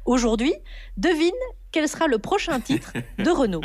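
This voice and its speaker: High-pitched woman radio host, jokey, laughs a lot, teacher voice for fun. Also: lively intonation, moderate pace, a little mellow. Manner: teasing, laughs often, mock-schoolteacher tone. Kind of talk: playful hosting banter.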